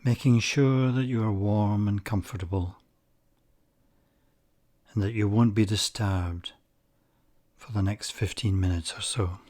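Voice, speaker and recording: A man guiding a meditation, speaking softly and calmly, some phrases nearly whispered. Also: on the low side. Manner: soft-spoken, calm and unhurried, with breathy near-whispered phrases. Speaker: meditation guide. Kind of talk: slow guided meditation.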